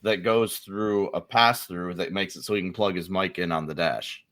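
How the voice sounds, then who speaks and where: A man's voice: low voice, moderate pace, fairly bright tone. A man hosting a podcast in casual, conversational talk. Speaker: podcast host. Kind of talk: casual chat.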